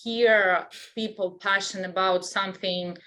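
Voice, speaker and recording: A woman's voice: mid-range voice, fairly even intonation, measured pace, sharp tone. A woman from Russia with a Russian accent, chatting casually as an interview guest, full of "like" fillers and non-native grammar. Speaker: guest, scientific illustrator.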